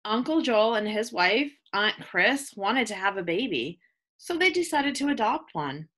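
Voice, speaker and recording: Bright-voiced woman reading to children. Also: fairly high pitch, very animated, measured pace. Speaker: teacher reading a picture book aloud. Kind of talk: children's read-aloud, scripted narration.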